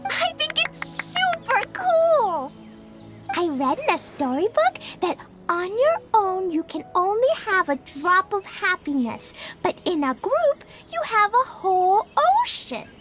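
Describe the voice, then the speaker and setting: A woman voicing an excitable, cheerful little girl in a video game, eager and childlike. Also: extremely high pitch, full character voice. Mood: excited. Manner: eager, childlike, quick to protest innocence. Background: game music.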